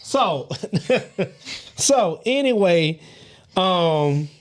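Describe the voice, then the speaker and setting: A man with a light voice, co-hosting a podcast and telling stories in a sassy, animated way, laughing often and swearing freely. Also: sits high, drawn-out words. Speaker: podcast co-host. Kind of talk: casual group chat, storytelling.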